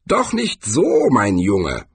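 A man voicing an elderly, spry barbarian hero in a storybook, slow and condescendingly kindly, with a creaky voice. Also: fairly low pitch, huge pitch swings, no room sound, exaggerated voice. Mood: mockingly helpful. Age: older. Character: greise, spry old barbarian hero, calm and mockingly friendly.

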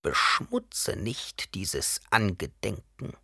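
A man reading an audiobook, voicing an old, imperious priestess in a hoarse, breathy whisper that drops low and drags out slowly. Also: huge pitch swings, fairly bright tone, no echo, studio quality, exaggerated voice. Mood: reproachful. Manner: pleading and hissing, drawn out slowly. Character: shrill hissing ancient woman, imperious high priestess. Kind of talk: audiobook character voice.

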